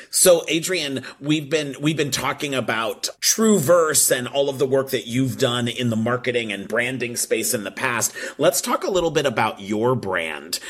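A man interviewing a guest, asking questions in an unhurried way and laughing along with him. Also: medium pitch, a bit bright.

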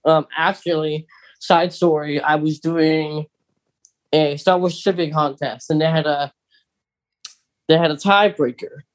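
A man's voice: a little high, fairly even intonation, a little slow, long pauses. A man co-hosting a podcast, talking casually and eagerly as a big fan, with plenty of ums. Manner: enthusiastic fan, talkative, many fillers. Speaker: podcast co-host.

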